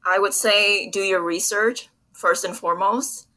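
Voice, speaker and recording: A woman's voice: mid-range voice, steady tone, a little slow, sharp tone. A young woman from Vietnam answering interview questions in an earnest, articulate, conversational way. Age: young adult. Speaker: interview guest, public relations professional.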